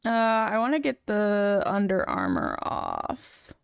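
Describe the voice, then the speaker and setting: A woman's voice: medium pitch, wildly swooping pitch, takes it slowly, gravelly, clean tone. Chatty young woman streamer. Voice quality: feminine voice. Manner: rambling, filler-heavy, chatty. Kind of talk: casual chat while working on a model.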